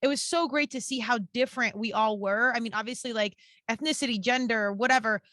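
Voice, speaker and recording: A woman's voice: a little high, brisk, fairly bright tone, no room sound, studio quality. A woman hosting a casual podcast interview, speaking in an enthusiastic, informal and affirming way. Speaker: podcast host interviewing a guest.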